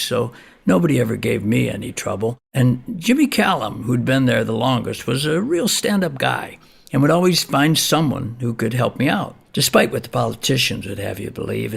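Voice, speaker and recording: A man's voice: medium pitch, vocal fry, slightly rough, heavily acted. An actor playing a blithely frank man of eighty-three in an audio play, telling his story in a folksy, rambling, matter-of-fact way. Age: older.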